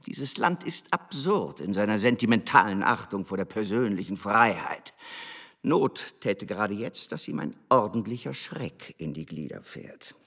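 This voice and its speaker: A scornful male embassy official in a radio drama. His low voice is husky, and he lectures with haughty, sneering contempt. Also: sing-song, a little slow, a bit bright, heavily acted. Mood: coldly threatening. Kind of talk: German radio drama acting.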